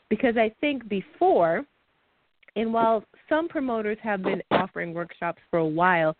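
A woman hosting a casual podcast, upbeat and chatty and quick to laugh. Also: mid-range voice, dry recording. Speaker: podcast host. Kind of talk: casual chat. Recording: dry, tinny phone-like line.

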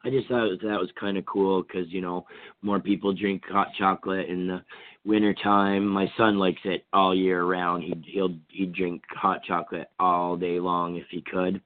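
A hoarse man with a cold from Vermont, hosting a call-in radio talk show in a rambling, casual way with many fillers and restarts. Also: deep voice, little pitch movement, normal speed, dry recording. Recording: tinny phone-line sound.

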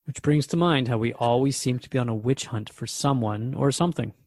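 A man's voice: medium pitch, fairly quick, darkish tone, no room sound, studio quality. A man acting as a radio host in a drama, asking questions in an earnest, interviewing manner.

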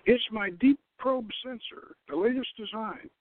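Man putting on a high breathy flirty secretary. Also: measured pace, phone-quality sound. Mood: eager, anxious. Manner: breathy, purring, complaining.

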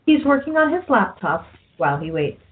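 A woman's voice: mid-range voice, big pitch swings, moderate pace, noisy background. A woman narrating a radio play, reading out scenes and stage directions from a script. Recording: muffled, narrow phone-like audio.

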